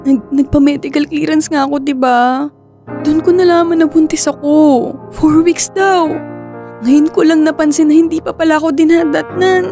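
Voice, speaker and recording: An actress playing an anxious young working woman in a Filipino radio drama, with an expressive, conversational delivery. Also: high-pitched, normal speed, faint music behind. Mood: distressed, panicked.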